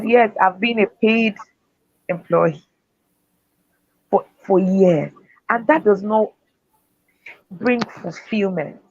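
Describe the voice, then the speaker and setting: A woman's voice: mid-range voice, breathy edge, dry recording. A woman speaker and author giving earnest, faith-driven motivational answers in an interview. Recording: dull, dry lo-fi sound.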